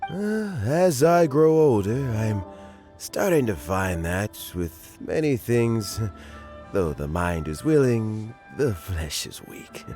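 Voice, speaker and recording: A man playing a gentle, courteous older statesman in a video game, speaking in a measured, formal way. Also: lowish voice, big pitch swings, soft background music. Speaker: game character.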